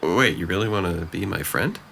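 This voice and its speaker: A man's voice: sits low, lively intonation, a little fast, gravelly, bright, forceful tone, exaggerated voice. A man acting a depressed, guarded young man in an audio drama, speaking with dry, self-deprecating hesitance. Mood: guarded, doubtful.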